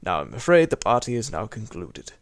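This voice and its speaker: A man's voice: on the low side, wildly swooping pitch, a little fast, dry recording, studio quality, heavily acted. A man playing a genial mansion host. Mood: formal. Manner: smooth, hospitable, dismissive of trouble. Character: genial wealthy male mansion host, factory owner. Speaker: party host.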